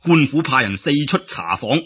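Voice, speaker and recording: A man's voice: mid-range voice, big pitch swings, moderate pace, breathy edge, muffled recording. A man with a Cantonese accent telling a folk tale in a theatrical, colloquial and exclamatory storytelling style. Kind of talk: dramatic traditional folk-tale narration.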